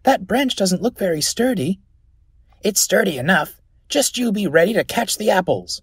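A man's voice: high-pitched, expressive, normal speed, husky, no room sound, clean studio sound, pitched above the performer's own voice. A man voicing a cheeky young church boy in a children's story, with urgent, chattering delivery. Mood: wary, cocky. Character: young church boys stealing apples.

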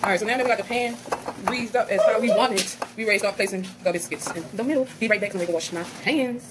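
A woman's voice: medium pitch, rapid-fire. A young woman chatting casually to her viewers while cooking in her home kitchen, calling them y'all. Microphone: phone camera on the counter. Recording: noisy phone recording with kitchen clatter.

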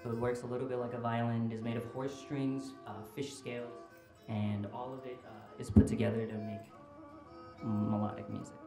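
A man's voice: medium pitch, flat delivery, moderate pace, over music. A young man from Nepal who grew up in the United States, giving an informal presentation in a hesitant, explanatory way. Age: young adult. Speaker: student reporting fellow giving a presentation. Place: indoor conference room with small audience. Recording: roomy, echoing presentation room.

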